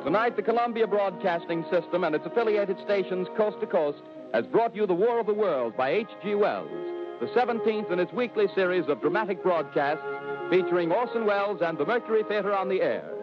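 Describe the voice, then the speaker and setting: High-pitched radio announcer man over music. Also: animated, a little fast. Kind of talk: formal 1930s radio announcing. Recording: muffled 1930s radio broadcast.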